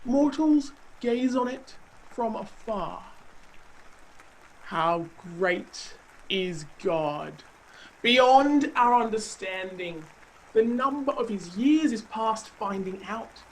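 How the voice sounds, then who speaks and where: A woman playing a zealous counselor who proclaims God's might in a declamatory, dramatic scripture reading with deliberate pauses. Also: a little high, slight background noise, rough recording.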